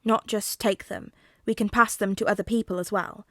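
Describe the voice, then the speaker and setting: A woman's voice: medium pitch, fairly even intonation, dry recording, clean studio sound, lightly acted. Woman playing a guarded, formal father confessing secrets. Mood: hesitant, explaining. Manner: measured, formal explaining.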